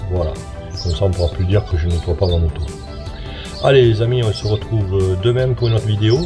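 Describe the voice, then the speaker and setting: A man's voice: lowish voice, fast. A man from the south of France chatting to his viewers as a motorcycle travel vlogger, friendly and easygoing. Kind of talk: casual travel vlog narration. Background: background music.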